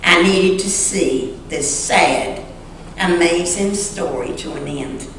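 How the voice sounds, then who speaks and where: A woman reading a story aloud dramatically and earnestly, with deliberate pauses, in a church sanctuary. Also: fairly low pitch. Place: large indoor church sanctuary. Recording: echoey church sanctuary, distant mic, faint noise.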